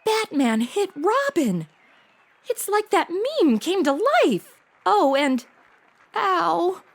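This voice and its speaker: A woman's voice: very high-pitched, big pitch swings, slow, heavily acted. A woman playing a sassy, irreverent modern young woman in a comedic audio drama, slangy and full of wry delivery. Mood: dazed, sarcastic.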